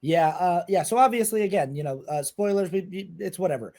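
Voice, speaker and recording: A man hosting a film podcast, talking casually in a halting way, often circling back to correct himself. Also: sits high, darkish tone.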